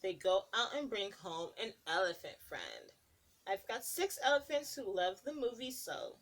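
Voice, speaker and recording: A woman's voice: medium pitch, very animated, normal speed, fairly bright tone, low-quality recording. A woman preschool teacher reading a picture book aloud to young children, stopping to ask them questions. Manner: sing-song, asks children questions between pages.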